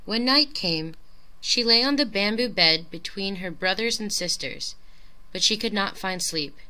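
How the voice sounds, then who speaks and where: Bright, expressive woman reading a storybook. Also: mid-range voice, a little slow, rough recording. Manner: dramatic, clearly phrased storytelling. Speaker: audiobook reader of a children's picture book. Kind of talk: expressive scripted storybook narration.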